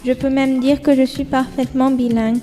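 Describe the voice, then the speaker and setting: A woman from Egypt speaks as a student guest on school radio, reading a prepared account carefully. Her voice sits a little high yet sounds mellow and dark, with a flat, even melody. Faint music plays behind her. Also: fairly quick.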